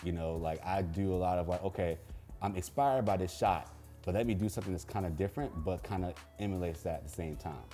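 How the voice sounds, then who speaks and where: Deep mellow-voiced young man chatting. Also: fast talker, soft background music. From Baltimore, Maryland. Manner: relaxed, laid-back, many "um"s, laughs often. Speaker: film and music video director. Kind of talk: casual Q&A chat.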